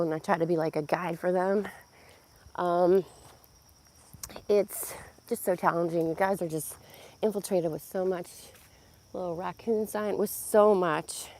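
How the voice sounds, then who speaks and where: Hoarse woman rambling on a nature walk. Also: lowish voice. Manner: rambling, many fillers and laughs. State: hoarse, losing her voice. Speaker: vlogger and yoga teacher. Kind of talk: casual walking vlog. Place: outdoors on a nature walk by a pond. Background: crickets and insects outdoors.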